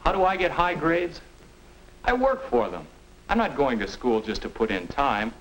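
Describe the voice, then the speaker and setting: A young man playing a studious, ambitious high school boy in a 1950 instructional film. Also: sing-song, moderate pace, a bit bright. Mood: determined. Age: young adult. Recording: noisy, clicking 1950 film soundtrack.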